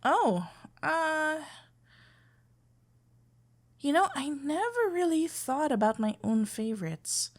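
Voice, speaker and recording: Woman acting a shy, slow-spoken lovestruck florist. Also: high-pitched, expressive, full character voice. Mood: playful. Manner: halting, stammering, awkwardly earnest. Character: shy, flustered, lovestruck florist best friend, female, tender. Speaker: audio roleplay performer. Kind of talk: intimate ASMR roleplay acting.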